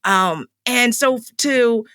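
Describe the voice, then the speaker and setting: Candid middle-aged woman, bright dry voice. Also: on the high side, slow pace, clear, resonant tone, no room sound. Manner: candid, conversational, frequent fillers and restarts. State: voice getting tired. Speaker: podcast host. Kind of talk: solo podcast monologue.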